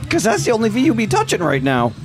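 A man's voice: high voice, wildly swooping pitch, quick pace. A man in his thirties from Washington State, joking around as a podcast host in a casual group chat. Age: 30s. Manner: joking, loud bursts of laughter. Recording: music playing behind.

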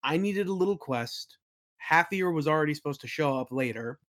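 A man's voice: fairly high pitch, expressive, moderate pace, fairly bright tone. A man in a casual interview, talking informally as a game master and telling anecdotes. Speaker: podcast guest, game master and worldbuilder.

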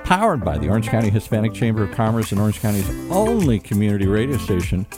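A man's voice: fairly low pitch, big pitch swings, fast talker. Hyped male announcer over guitar music. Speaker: show announcer. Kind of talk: energetic show intro over music. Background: plucked guitar music.

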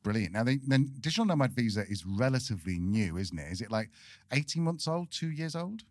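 A man's voice: medium pitch, sing-song, fairly quick, a little mellow, no room sound, clean studio sound. A man hosting a podcast, chatting casually and joking at his own expense. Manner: chatty, self-deprecating, joking. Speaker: podcast host.

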